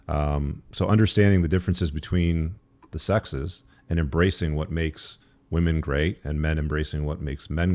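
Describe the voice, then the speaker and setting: A man's voice: extremely low pitch, gravelly, a little mellow. A man speaking as an interview guest, explaining his opinions in a conversational, opinionated way. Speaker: podcast guest, author.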